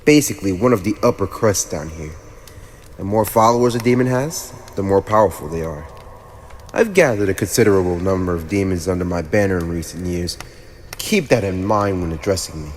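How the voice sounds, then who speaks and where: A man's voice: sits low, natural voice. A man voicing a haughty, teasing teenage demon lord with a playfully cruel streak, in an ASMR roleplay addressed to the listener. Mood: condescending. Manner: haughty, teasing, theatrical, with drawn-out pauses. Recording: long reverb, audible background noise.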